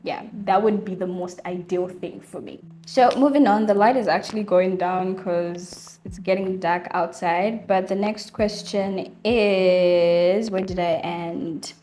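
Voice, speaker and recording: A woman's voice: mid-range voice, unhurried, few pauses, a little hiss or hum. Chatty young Zambian woman vlogger. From Zambia, Copper Belt. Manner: chatty, animated, laughs often. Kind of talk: casual Q&A to camera. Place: home room lit by daylight.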